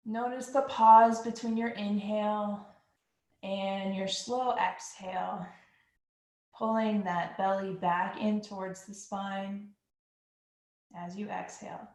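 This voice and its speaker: A woman teaching a yoga class, guiding breathing in a slow, calm and soothing manner. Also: medium pitch. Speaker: yoga instructor. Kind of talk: guided yoga breathing instruction. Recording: echoey room.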